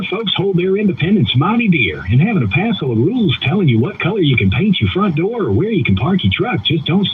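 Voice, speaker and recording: A man's voice: a little high, a little hiss or hum. A male AI voice assistant with a Southern US accent, answering in a folksy, homespun drawl full of country sayings. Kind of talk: folksy conversational replies. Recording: tinny phone-like speaker playback.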